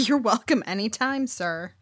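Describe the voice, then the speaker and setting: A woman's voice: a little high, animated, measured pace, no echo, studio quality. An actress playing a shrewd, cynical woman con artist who is wry and matter-of-fact, in an audio play. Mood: wry, businesslike.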